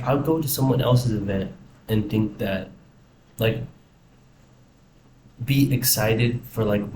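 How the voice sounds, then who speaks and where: A young man with an American accent talking casually and reflectively in a rambling personal video diary. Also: on the low side. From United States. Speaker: vlogger. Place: indoors in a house. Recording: roomy echo, faint background noise.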